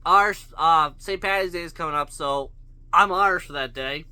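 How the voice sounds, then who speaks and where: Sharp-voiced man ranting bluntly. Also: on the high side, normal speed, clean tone, no room sound. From Canada. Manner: blunt, profane, rambling rhetorical questions. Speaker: vlogger. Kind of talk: opinion rant to camera.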